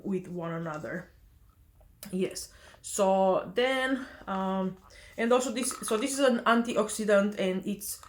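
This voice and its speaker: A young woman from Romania, chatting casually in a vlog, hesitant with many fillers and self-corrections. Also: medium pitch. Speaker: vlogger.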